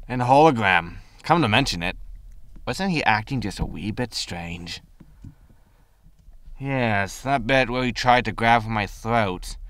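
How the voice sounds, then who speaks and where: Man acting an excitable space scavenger, lively and bright. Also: mid-range voice, smooth. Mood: worried. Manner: excitable, muttering to himself. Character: greedy, hapless spaceship scavenger, fond of drink. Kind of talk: science fiction comedy audio drama acting.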